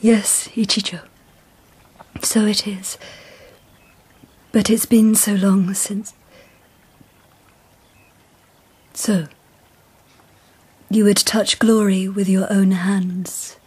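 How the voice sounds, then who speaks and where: An actress playing a dignified, perceptive empress in a radio drama, breathy, poised and quietly wary. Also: female voice, mid-range voice, little pitch movement. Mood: wary, intimate. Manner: poised, formal, deliberate.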